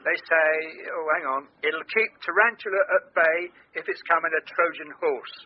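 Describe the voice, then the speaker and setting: Actor as bemused dad, dull phone-like sound. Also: male voice, on the high side, moderate pace, natural voice. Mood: puzzled relaying. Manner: hesitant, puzzled. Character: bemused older father of an adult detective daughter. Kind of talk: naturalistic radio drama acting. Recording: dull, telephone-like sound with faint noise.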